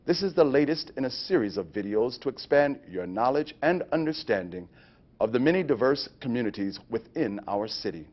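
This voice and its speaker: A man's voice: mid-range voice, big pitch swings, brisk. A man who works as a chaplain, presenting scripted narration for a training video. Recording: dull, lo-fi training video audio.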